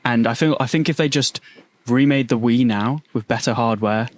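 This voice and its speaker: Eager young man in slangy banter. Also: medium pitch. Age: young adult. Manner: enthusiastic, slangy, quick to laugh. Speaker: podcast co-host. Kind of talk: casual chat.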